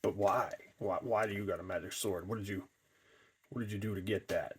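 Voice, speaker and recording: A man voicing a curious, teasing cyborg undead creature in an improvised toy skit, with halting restarts. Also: on the low side, steady tone, husky. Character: cyborg undead male mascot, curious and needling.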